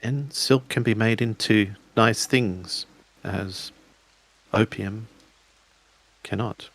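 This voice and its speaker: A man roleplays in a tabletop game, recorded dry over the sound of rain. His voice sits on the low side and he talks slowly, with measured pauses, sometimes narrating his character in the third person. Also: gravelly, fairly bright tone, dry recording. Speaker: player in a tabletop roleplaying podcast.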